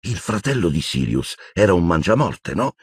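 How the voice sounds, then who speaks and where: A man voicing an uncertain, tense but loyal teenage boy in an audiobook, speaking tentatively and haltingly. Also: low voice, sing-song, slightly rough, no room sound, studio quality, full character voice. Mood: tense, supportive.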